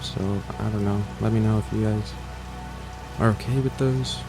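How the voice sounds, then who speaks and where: A man's voice: on the low side, steady tone, normal speed. A man chatting unscripted to viewers as a channel creator, hesitant, rambling and self-critical. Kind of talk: unscripted casual chat to viewers. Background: music.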